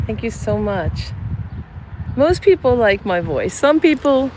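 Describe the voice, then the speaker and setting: A soft-spoken woman, a psychic medium, chatting gently in a live stream while she walks through a cemetery among blossoming cherry trees. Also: on the high side, very animated, slow pace. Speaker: psychic medium and channel host. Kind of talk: casual live-stream chat while walking. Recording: outdoor live stream with clear ambient noise. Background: birds and passing traffic.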